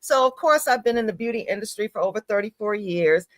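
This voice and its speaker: A middle-aged woman talking conversationally as an interview guest, emphatic and animated, often checking in with short tag questions. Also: mid-range voice, fast talker, no echo. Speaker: talk show guest promoting events and a book.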